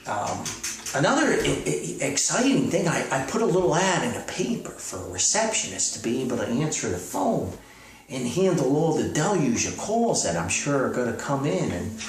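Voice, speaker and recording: A man's voice: medium pitch, big pitch swings, moderate pace, distant mic. A man giving a rambling, casual comic monologue in a folksy, hesitant, mock self-important way. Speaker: author hosting his own comedic podcast. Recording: echoey room, off mic, music.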